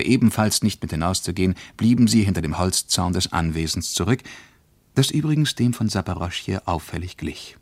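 A man playing a reflective first-person narrator recounting a journey in a radio play, with a measured, precise literary delivery. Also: low voice, no echo, exaggerated voice.

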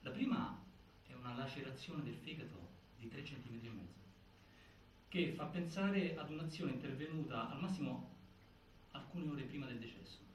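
An actor playing a grave narrator of a prison death inquiry, reciting findings with emphatic delivery and halting pauses in theatrical documentary style. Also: male voice, medium pitch, lively intonation, natural voice. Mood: grave, insistent. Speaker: stage actor narrating an investigation. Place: indoor stage, picked up from afar. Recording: distant, echoey, noisy theatre recording.

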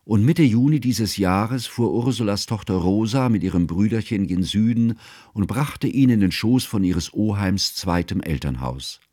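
A man playing a learned, devoted friend who narrates a radio drama in formal, ornate, measured literary style. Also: on the low side, lively intonation, mellow, breathy edge, no room sound, studio quality. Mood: sober, measured.

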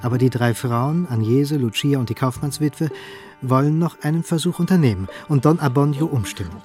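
A man telling the story of a radio play in a calm, even way, at a middling pitch. Music plays beneath his narration. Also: brisk. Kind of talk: scripted radio drama narration.

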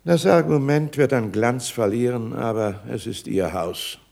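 An actor playing a smug, pompous court philosopher in a 1960s German radio play, with florid, ceremonious phrasing. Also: male voice, mid-range voice, measured pace, vocal fry, a little mellow. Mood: condescending.

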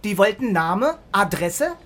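An actor playing a brash, streetwise car rental owner with a Berlin accent, gruff, slangy and taunting in a radio crime drama. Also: medium pitch, very animated, measured pace, fairly bright tone.

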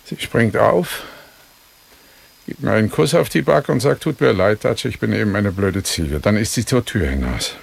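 Gravelly older police partner. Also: male voice, fairly low pitch, lively intonation, moderate pace, full character voice. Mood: confiding. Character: older male Los Angeles cop, loyal, bluntly honest partner. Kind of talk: German radio drama acting.

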